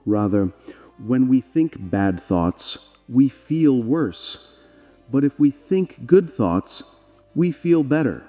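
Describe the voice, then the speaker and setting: A wise old woman of a storybook village, as a male narrator plays her. The delivery is gentle and unhurried, with a soft-edged tone that rises and falls freely. It sits in long reverb. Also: mid-range voice, big pitch swings, faint music behind. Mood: gentle, reassuring.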